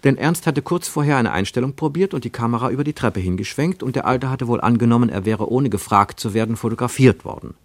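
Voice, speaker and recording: A man narrating a literary radio play as a scripted storyteller, measured and expressive, with deliberate pauses. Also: medium pitch, dry recording.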